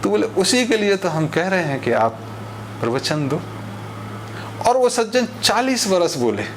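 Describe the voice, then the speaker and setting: A man delivering an expository monologue in a didactic, deliberate way, using rhetorical repetition. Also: fairly high pitch, background noise. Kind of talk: expository monologue on social issues with parables.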